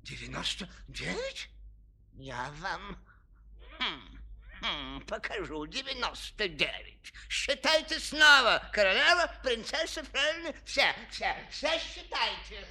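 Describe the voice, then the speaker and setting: Gravelly, blustering old king. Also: high-pitched, big pitch swings, slow, bright, forceful tone, exaggerated voice. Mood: blustering, commanding. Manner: commanding, muttering and humming to himself. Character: grumpy, blustering old king who distrusts everyone. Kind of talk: children's audio play acting. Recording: 1970s studio audio play.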